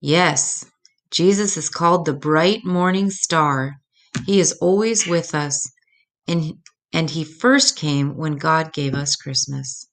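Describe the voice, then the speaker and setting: A mother bear from a children's storybook , read by a woman, with a low voice. She explains things patiently and tenderly, with devout reassurance. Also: slow pace. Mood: loving.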